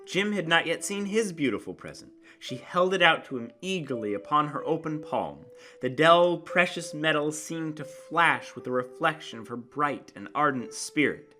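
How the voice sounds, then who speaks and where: A man narrating a literary story as a dramatic reading, with theatrical, deliberate emphasis. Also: on the high side, lively intonation, bright, forceful tone, soft background music.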